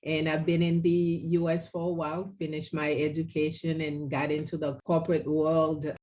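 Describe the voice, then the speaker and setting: A woman from Haiti giving measured answers as an interview guest and author. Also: low voice, flat delivery, warm tone.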